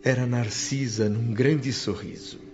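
A man's voice: mid-range voice, fairly even intonation, a little slow, darkish tone, natural voice. A man playing an earnest spirit who narrates his own story in a formal, solemn radio drama style. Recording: dull lo-fi radio drama audio. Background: music.

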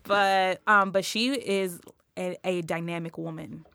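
A woman's voice: fairly low pitch, steady tone, measured pace, pushed and bright, no echo, studio quality. A woman hosting a podcast, chatting enthusiastically with a friend and often restarting her sentences. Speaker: podcast host. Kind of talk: casual interview chat.

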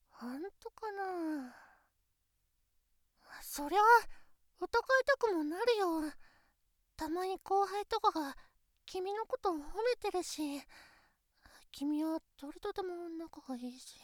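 A young woman voicing an insecure teenage girlfriend who talks softly and intimately in a studio voice drama. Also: very high voice, no room sound, full character voice. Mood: anxious. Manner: soft, intimate, pillow-talk delivery. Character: shy, insecure teenage girlfriend, female classmate. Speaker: voice actress. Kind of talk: Japanese situation voice drama.

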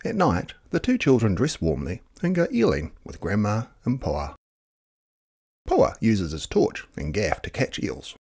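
A man reading a children's picture book expressively, dramatic, with hushed and drawn-out words. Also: lowish voice, wildly swooping pitch, slightly rough. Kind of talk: expressive children's story narration.

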